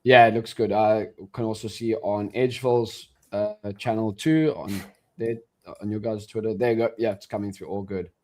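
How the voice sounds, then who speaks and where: A man's voice: lowish voice, moderate pace. South African man co-hosting a trading stream. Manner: relaxed, says bro and yeah often. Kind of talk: casual live-stream chat.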